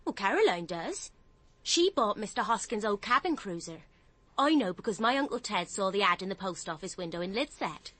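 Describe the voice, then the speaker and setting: An actress playing a nervous, gullible English office clerk with a flustered, colloquial manner in a radio drama. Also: female voice, mid-range voice, big pitch swings, moderate pace, a bit bright, dry recording. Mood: chatty.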